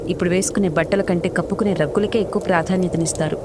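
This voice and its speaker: A woman's voice: fairly low pitch, fairly even intonation, racing, background noise, close to an everyday voice. A woman voicing a confident pigeon husband in a cartoon story, explaining his schemes in a reassuring way. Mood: reassuring, persuasive.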